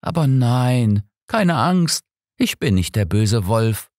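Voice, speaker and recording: A gloating, menacing male murderer, acted out by an audiobook narrator, taunting his victim with theatrical false cheer. The voice often lifts higher, in a very dry recording. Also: big pitch swings, takes it slowly, a little mellow, no room sound. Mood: mocking, menacing. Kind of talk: audiobook character acting.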